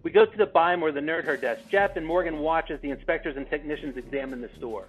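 A man's voice: on the high side, quick pace. A man reading out stage directions as narrator at a remote script table read. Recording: dull call audio, music behind.

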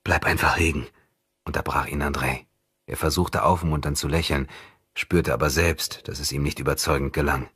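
A man reading an audiobook as scripted dramatic narration, measured and grave. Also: a bass voice, fairly even intonation, a bit bright, slightly rough, dry recording, clean studio sound.

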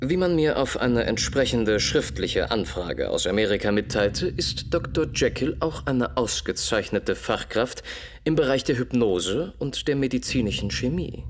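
An actor playing a brilliant, grave Victorian detective in a German radio play, explaining a case in a deliberate, measured way. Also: male voice, mid-range voice, big pitch swings. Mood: explaining, assured. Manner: deliberate, measured, expository, with dramatic repetitions.

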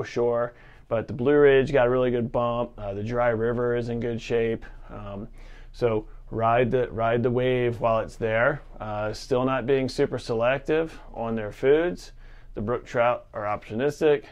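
Casting: man, 30s to 40s, fairly low voice, casual conversational presenter for outdoor-sports reports, unscripted feel. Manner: informal, conversational. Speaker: fly shop forecast presenter. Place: fly fishing shop, indoors. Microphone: lavalier clipped at collar.

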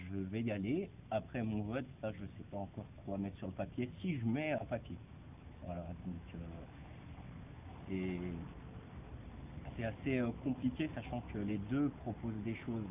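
A young man with a fairly low voice weighs his words carefully outdoors. The audio is narrow and phone-like, with noticeable outdoor noise. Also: a little slow. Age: young adult. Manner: thoughtful, searching for words. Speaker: passer-by interviewed in a park. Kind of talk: street interview. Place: outdoors on a grassy green. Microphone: handheld mic held by interviewer. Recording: phone-like sound, street noise.

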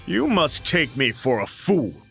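An actor playing a defiant, principled young prince in a video game, earnest and indignant. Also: male voice, on the high side, sing-song, measured pace, creaky, sharp tone, soft background music, heavily acted.

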